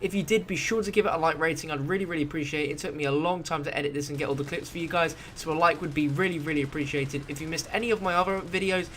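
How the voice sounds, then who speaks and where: A man's voice: on the high side, fairly even intonation, very fast, hardly any pauses, a bit bright, slight background noise. A man speaking casually as the host of a gaming video, giving commentary for viewers.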